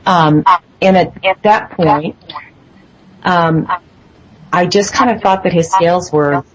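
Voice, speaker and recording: A woman with a low, husky voice speaks as a guest in a phone interview. She tells her story hesitantly, often stopping to restart her sentences and filling pauses. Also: lo-fi sound. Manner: hesitant, many fillers and restarts. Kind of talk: phone interview, personal account. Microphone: phone line.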